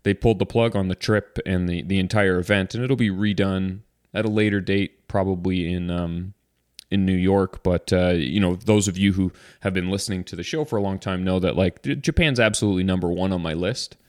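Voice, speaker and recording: Deep-voiced relaxed male podcast host. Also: brisk, dry recording, studio quality. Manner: relaxed, rambling, frequent restarts. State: slightly congested. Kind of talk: casual podcast chat.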